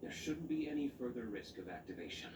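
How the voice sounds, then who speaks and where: An actor playing a stern knight commander in a video game, speaking in a measured, grave and authoritative way. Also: male voice, mid-range voice, animated, reverberant, background noise, close to an everyday voice. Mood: stern, composed. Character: stern, deep-voiced male knight commander.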